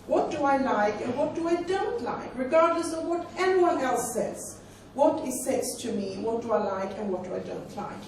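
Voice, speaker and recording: A woman's voice: high-pitched, expressive, normal speed, darkish tone, slight background noise. A woman in her forties with a Swedish accent, a native Swedish speaker, giving a live lecture on sex education in a conversational way and often repeating words as she searches for them. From Sweden. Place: hall. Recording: echoey hall recording from far off.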